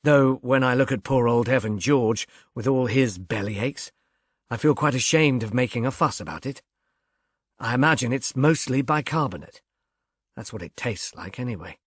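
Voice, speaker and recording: Wry, casual young gentleman of the house for an audiobook, read by a man, self-deprecating, dry sound. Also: mid-range voice, normal speed, breathy edge, no room sound, close to an everyday voice. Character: civilized grown son, dazed, wry, casual.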